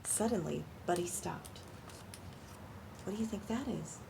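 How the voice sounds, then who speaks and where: A woman reading a picture book aloud to young children in an animated storytime style, pausing to ask questions and explain things simply. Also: medium pitch, vocal fry, noisy background. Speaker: children's librarian and storytime reader.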